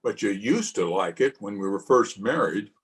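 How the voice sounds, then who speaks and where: A man's voice: lowish voice, animated, normal speed, close to an everyday voice. A man playing a doting, reassuring husband in an old-time radio play. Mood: coaxing. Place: home, over a video call.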